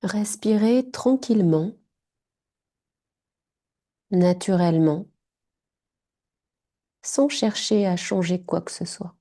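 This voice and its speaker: A woman guiding a yoga nidra relaxation in short, hushed, soothing phrases. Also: mid-range voice, takes it slowly, long pauses. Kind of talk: guided meditation.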